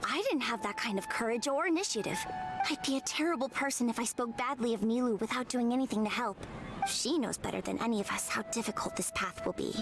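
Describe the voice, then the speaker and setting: A woman's voice: a little high, fast talker, lightly acted. An actress playing a humble young female theater performer in a game, speaking earnestly and reflectively. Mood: remorseful. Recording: game dialogue with music behind.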